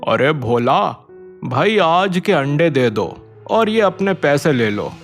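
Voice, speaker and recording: A man's voice: on the high side, sing-song, takes it slowly, faint music behind, full character voice. A man voicing a greedy, insistent village merchant in a cartoon, talking in a pushy, familiar way.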